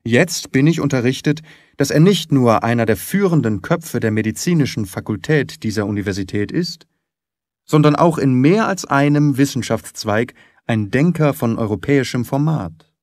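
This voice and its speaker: A man reading literary narration for an audiobook in a measured, articulate manner. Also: mid-range voice, lively intonation, darkish tone, no room sound, studio quality.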